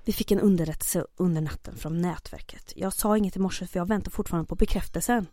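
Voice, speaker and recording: A woman's voice: on the low side, mellow, dry recording, clean studio sound, close to an everyday voice. A woman playing an efficient, discreet, earnest secretary in a comic wartime radio drama. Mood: confidential, earnest. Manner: earnest, businesslike.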